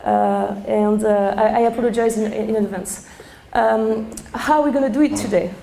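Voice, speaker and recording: Hoarse French-accented woman teaching a wine seminar. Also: a little high, normal speed, a little hiss or hum. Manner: chatty, many fillers, excitable. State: hoarse, losing her voice. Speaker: sommelier presenting a seminar. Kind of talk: live talk to a seminar audience. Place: seminar room.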